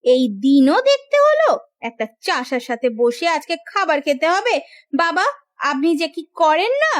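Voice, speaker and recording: Casting: snobbish melodramatic cartoon sister-in-law, piercingly high, wildly animated delivery. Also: female voice, unhurried. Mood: scornful. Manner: scornful, theatrical. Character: haughty, spiteful, melodramatic young sister-in-law villainess.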